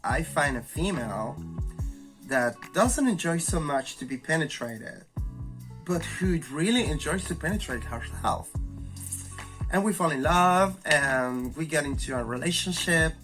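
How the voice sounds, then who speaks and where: A man with a raspy, flu-hoarse voice hosts a candid, thoughtful educational monologue. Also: a little high, big pitch swings, over music. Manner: thoughtful, candid, slow and searching. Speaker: video host.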